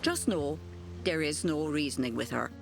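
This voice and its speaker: An actress playing a grave, ominous witch of a secret coven in a fantasy game, speaking in a hushed, breathy way. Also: sits low, expressive, normal speed. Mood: grave warning. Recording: loud music under the voice. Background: loud game music.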